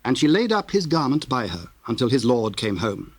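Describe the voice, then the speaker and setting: A man's voice: mid-range voice, big pitch swings, breathy edge, no echo. Solemn male scripture narrator, dry sound. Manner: solemn, measured, formal.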